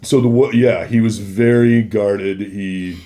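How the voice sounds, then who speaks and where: A man's voice: lowish voice, steady tone, takes it slowly, dark tone. A man telling anecdotes casually as a podcast guest, acting out remembered exchanges as he goes. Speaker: guest, former celebrity security worker.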